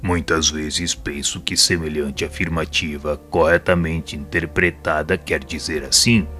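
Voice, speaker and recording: An actor playing a wise, patient spirit mentor in an audio drama, speaking in a solemn, measured way with a Brazilian accent. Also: male voice, rumbling low, steady tone, pushed and bright, faint music behind, heavily acted. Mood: solemn, persuasive.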